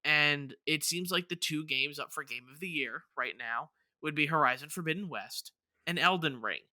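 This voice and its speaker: Guy on a gaming podcast, really bright forceful voice, recorded dry and close, kinda circles his thoughts. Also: a little high, moderate pace, dry recording. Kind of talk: casual chat about video games.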